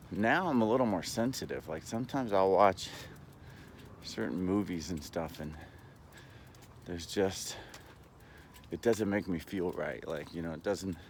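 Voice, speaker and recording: Deep-voiced man rambling over background noise. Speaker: vlogger. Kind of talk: casual rambling monologue.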